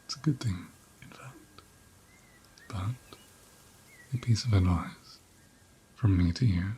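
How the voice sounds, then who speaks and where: A man playing a calm, caring ram who looks after his herd, speaking in a gentle, breathy, often whispered ASMR style close to the microphone. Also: sits low, a little hiss or hum. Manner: gentle, soothing, soft-spoken, unhurried advice-giving.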